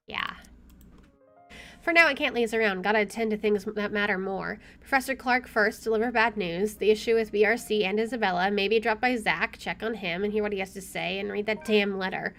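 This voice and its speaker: A woman's voice: mid-range voice, brisk, a bit bright. A woman let's player reading a visual novel aloud expressively with chatty asides, game music playing behind her.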